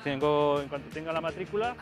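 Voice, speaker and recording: A man's voice: fairly high pitch, quick pace, over music. A man from Spain with a Spanish accent, giving a casual, explanatory guided tour outdoors as a featured guest. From Spain, Aragon. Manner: conversational, explanatory. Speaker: featured guest showing the area. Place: outdoors, airfield and village streets.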